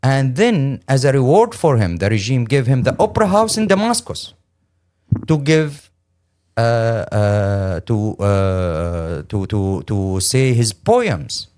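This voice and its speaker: A middle-aged man with a Syrian Arabic accent gives an earnest first-hand account as an interview guest. His medium-pitched voice moves up and down a lot, and his delivery is halting but emphatic, with words repeated for weight. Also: sing-song, a little mellow. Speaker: interview guest, lawyer and humanitarian.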